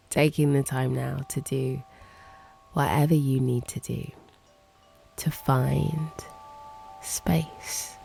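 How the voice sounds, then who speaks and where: Slow, low-voiced woman guiding meditation. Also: steady tone, gravelly, dark tone, soft background music. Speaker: host and meditation guide. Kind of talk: guided meditation, slow and hushed.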